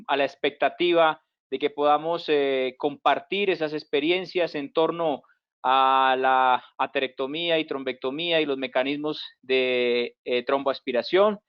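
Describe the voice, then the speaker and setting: A man's voice: fairly high pitch, smooth. A man from Colombia, a physician panelist, gives a courteous, formal greeting on a video call. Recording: dull lo-fi video call audio.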